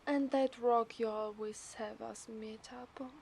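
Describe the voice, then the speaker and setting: A woman with an Italian accent voicing an obsessive, seductive mermaid in a teasing, breathy ASMR roleplay. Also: fairly high pitch, steady tone, a little mellow, slight background noise. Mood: playful, curious. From Italy. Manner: slow, teasing, breathy half-whispers.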